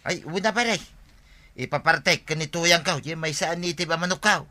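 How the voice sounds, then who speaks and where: A man's voice: a little high, fairly quick, bright, forceful tone. A man acting in a Filipino radio comedy as a chummy, teasing, meddling village friend with an Ilocano accent.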